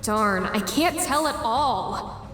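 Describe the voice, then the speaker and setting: Competitive, calculating teen girl for a webcomic dub, played by a woman: high, slow and deliberate, music underneath. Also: expressive, a bit bright. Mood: pensive, wary. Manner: deliberate, musing to self. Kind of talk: webcomic fan dub acting with inner monologue. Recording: roomy voice with music behind.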